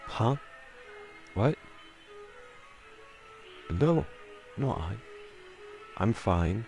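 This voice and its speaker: Deep, slow-talking man with a gravelly edge. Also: big pitch swings, darkish tone, faint music behind. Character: awkward, amiable boyfriend on a movie night. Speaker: boyfriend roleplay voice performer. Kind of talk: scripted ASMR roleplay, casual conversation.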